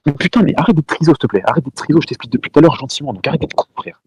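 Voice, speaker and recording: A man's voice: fairly high pitch, lively intonation, rapid-fire, breathy edge, no echo. A man streaming from home, arguing heatedly with a caller, repeating himself, talking over the other person and throwing insults. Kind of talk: heated live-stream argument.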